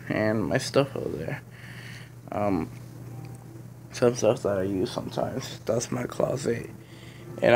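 A young man with a hoarse, sick morning voice, rambling casually to viewers in a phone vlog from his bedroom. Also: medium pitch, a little mellow, slight background noise. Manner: rambling, filler-heavy, addresses viewers as guys. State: hoarse from being sick and just woken up. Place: dim bedroom at home, indoors. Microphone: phone held in hand.

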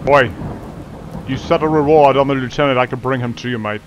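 A man's voice: mid-range voice, brisk, muffled recording, noisy background. A man roleplaying a streetwise hustler on a game server, wheedling and tacking "mate" onto his lines in an accent that sounds put on. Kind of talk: in-character game roleplay over voice chat.

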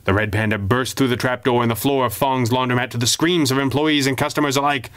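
A man with a clear, resonant voice narrates a pulp adventure tale. His delivery is theatrical and expressive, at a medium pitch, like a storyteller fully invested in the drama. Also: fast. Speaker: audiobook narrator.

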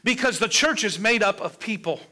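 A middle-aged man preaching a sermon from a church pulpit, building up points with pauses and moving around as he speaks. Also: high-pitched, lively intonation, pushed and bright. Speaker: pastor. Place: church sanctuary with a colorful children's stage set.

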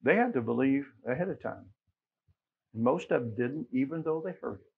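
A middle-aged American man preaching a Baptist sermon, emphatic, moving between quiet asides and loud exclamations and quoting scripture. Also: medium pitch, expressive, moderate pace, vocal fry, breathy edge, phone-quality sound. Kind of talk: Sunday sermon with scripture quotations. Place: small church sanctuary, at the pulpit.

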